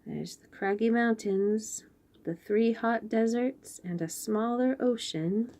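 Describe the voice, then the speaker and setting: A woman reading a picture book aloud to young children in an expressive way, with chatty asides and questions about the pictures. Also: a little high. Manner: expressive, pauses to point out pictures and ask questions. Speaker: children's read-aloud host.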